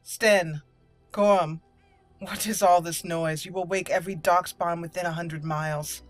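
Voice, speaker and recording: A woman voicing a haughty, formal female elf mage in a role-played fantasy video game, with measured speech. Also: lowish voice, fairly even intonation, lightly acted. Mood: commanding. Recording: game music clearly behind the voice.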